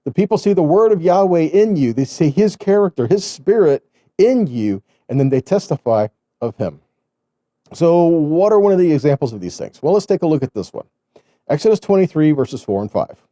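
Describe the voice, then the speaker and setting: A man's voice: on the high side, big pitch swings, normal speed, warm tone, no echo, studio quality. A man giving a sermon as a religious teacher, reading scripture and explaining it in a conversational way, checking in with his listeners.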